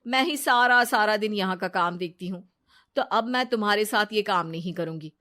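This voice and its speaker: A woman's voice: mid-range voice, normal speed, pushed and bright, close to an everyday voice. A woman voicing a scheming, deceitful young female friend in a brash, wheedling story-narration style. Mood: haughty, cold. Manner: brash, wheedling, fake sobbing.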